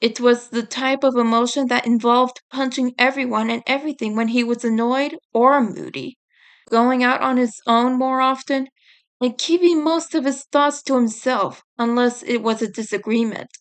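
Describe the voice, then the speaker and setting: A woman's voice: fairly high pitch, fairly even intonation, moderate pace. A woman reading a scripted fan fiction story aloud as its narrator.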